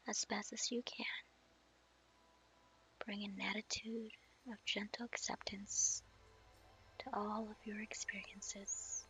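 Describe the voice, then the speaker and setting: A woman guiding a meditation in a soft, slow, half-whispered voice. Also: medium pitch, little pitch movement, faint music behind, rough recording. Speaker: meditation guide. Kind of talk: guided meditation.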